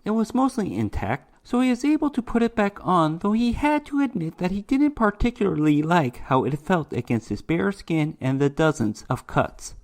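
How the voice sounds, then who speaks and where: A man's voice: high-pitched, sing-song, moderate pace, few pauses. A man reading a short story aloud as dramatic scripted narration, in the role of storyteller.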